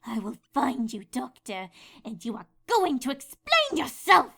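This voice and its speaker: A woman's voice: sits high, huge pitch swings, a little slow, vocal fry, bright, forceful tone, slightly rough, voice pushed up, heavily acted. A woman voicing a dramatic, indignant, ladylike cartoon pony, prim and exclamatory, screechy when upset. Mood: defiant. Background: music.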